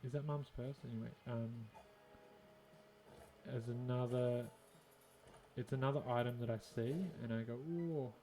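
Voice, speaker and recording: A man's voice: mid-range voice, steady tone, a little slow, long pauses, dark tone, a little hiss or hum, poor audio. An Australian man giving casual gameplay commentary, musing and thinking aloud to himself. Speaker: gaming video host.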